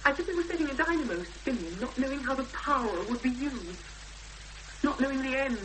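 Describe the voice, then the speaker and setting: A woman's voice: high voice, lively intonation, fairly quick, fairly bright tone. An actress playing a frightened, weary woman searching for her missing sister in a 1970s radio drama, speaking in short, intense, reflective phrases. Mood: troubled. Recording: noisy 1970s radio broadcast recording.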